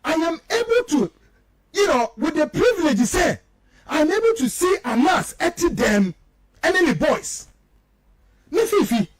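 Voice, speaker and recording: A man's voice: very high voice, poor audio. A Ghanaian man, a native Twi speaker, giving emphatic, accusatory political radio commentary in a declamatory way. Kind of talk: political talk radio commentary, mixing local language and English.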